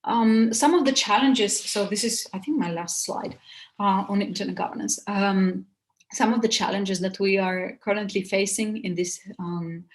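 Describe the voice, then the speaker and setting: Hesitant woman presenter. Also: medium pitch. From Bulgaria. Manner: hesitant, halting delivery with many pauses. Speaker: seminar presenter. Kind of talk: informal slide presentation.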